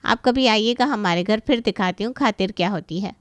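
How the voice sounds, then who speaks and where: A woman's voice: mid-range voice, moderate pace, no room sound, lightly acted. A woman playing a haughty, nitpicking middle-aged aunt, a boastful guest in a family story. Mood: haughty criticism.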